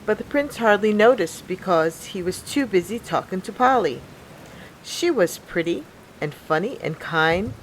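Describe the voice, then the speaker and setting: A woman reading a children's picture book aloud as a storyteller, in an expressive, deliberate way. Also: mid-range voice, a little hiss or hum, rough recording. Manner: deliberate, expressive storybook delivery with acted sound words.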